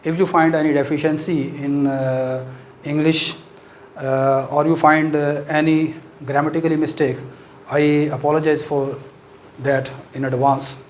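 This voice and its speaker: A man with an Urdu accent delivers a maths lecture in English, his voice a touch higher than most. He explains each step carefully and pauses often with short fillers as he works through the example. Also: little pitch movement, slight background noise.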